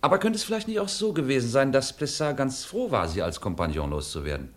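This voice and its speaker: Man playing a quick, lively-voiced police inspector. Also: mid-range voice, lightly acted. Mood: probing, insistent. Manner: formal, courteous, deliberate, insistent. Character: composed, probing male police inspector questioning suspects. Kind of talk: German radio crime drama acting.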